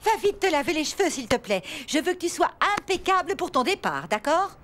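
A caring cartoon mum with a high voice and animated intonation. She fusses and coaxes in a motherly way. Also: fairly quick. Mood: fussing. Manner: coaxing, motherly. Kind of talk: French cartoon dubbing.

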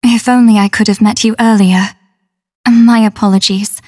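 A woman playing a solemn, tender female android soldier in a video game, speaking in a measured, formal, earnest way. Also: mid-range voice, fairly even intonation, a little mellow. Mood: solemn, wistful. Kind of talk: English dub game voice acting.

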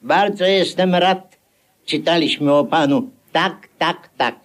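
A slow, eccentric charlatan astrologer. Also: male voice, fairly high pitch. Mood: delighted. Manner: halting, repetitive, mock-mysterious. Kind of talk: 1950s grotesque radio play acting. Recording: 1957 radio play recording.

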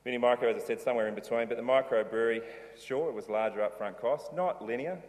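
A man's voice: mid-range voice, quick pace, a little mellow. A middle-aged man giving a casual conference talk from a lectern, rambling loosely with many ums and restarts. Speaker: conference speaker, brewery owner. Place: large dark auditorium stage. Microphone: lectern mic, filmed from far in the hall. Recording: reverberant hall, distant camera.

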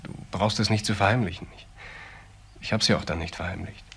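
An actor playing a subdued young ex-convict in a crime radio play, giving halting, simple answers. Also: male voice, lowish voice, fairly even intonation, a bit bright, slightly rough. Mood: hesitant. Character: subdued, hesitant young male ex-convict suspect. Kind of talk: German crime radio drama dialogue.

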